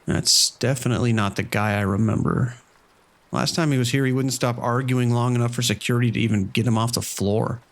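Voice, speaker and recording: Man acting a calm, practical casino manager. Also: mid-range voice, a little fast, lightly acted. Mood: calm, businesslike. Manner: casual, steady, giving instructions. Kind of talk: radio drama dialogue.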